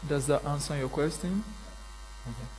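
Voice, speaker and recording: A man's voice: on the high side, moderate pace. A man moderating a question session with brief casual prompts. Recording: mic with clear hum.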